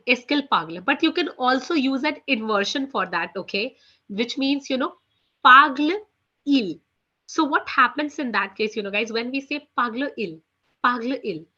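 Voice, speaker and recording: Woman, Indian English accent, bright tense voice, somewhat high, muffled lo-fi mic, online French teacher. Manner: frequent "you know" and "okay" fillers, repeats phrases. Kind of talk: online class lecture. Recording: muffled low-fidelity audio.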